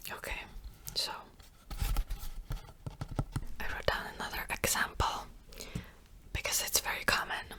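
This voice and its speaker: A woman whispering softly and close to the mic as she gives a gentle language lesson, slowly repeating words. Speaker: language teacher.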